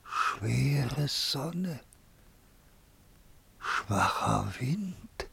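A blind, ancient wretch in an old radio play, croaking and husky to the point of breaking. The words come very slowly and haltingly, feeble and pleading. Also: male voice, medium pitch, very animated, sharp tone, full character voice. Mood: bewildered, feeble. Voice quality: breathy, croaking, quavering whisper. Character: frail, blind, ancient wretch living in a dark hole. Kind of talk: 1950s German radio verse drama acting.

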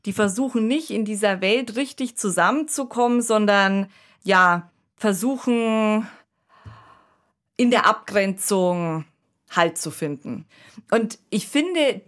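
Measured woman analysing a radio play. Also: medium pitch. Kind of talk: radio discussion.

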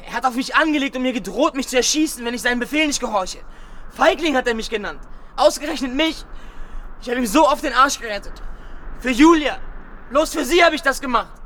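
An actor playing an agitated, cornered soldier in a radio drama, bitter and defiant, blurting coarsely in short bursts. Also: male voice, very high voice, animated, brisk, sharp tone, a little hiss or hum, exaggerated voice. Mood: bitter, desperate. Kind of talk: naturalistic German radio drama acting.

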